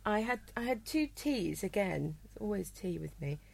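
A woman's voice: medium pitch, expressive, normal speed, creaky, slightly rough. A woman from Wales, her accent mostly worn away, a chatty, self-deprecating guest on a podcast. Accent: faint Welsh, mostly lost. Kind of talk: casual podcast chat.

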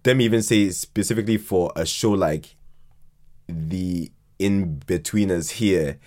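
Man, lowish pitch, casual podcast guest, halting delivery, frequent word repetition. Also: a little mellow, soft background music. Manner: halting, repeats words often as he thinks aloud. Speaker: podcast guest, fiction podcast writer. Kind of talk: casual conversational interview.

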